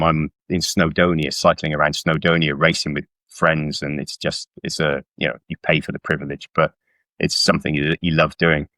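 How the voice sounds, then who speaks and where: Very deep-voiced middle-aged man, dry sound. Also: a bit bright, no echo. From South Wales. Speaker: guest author. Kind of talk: casual conversational interview. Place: indoors at home on a video call.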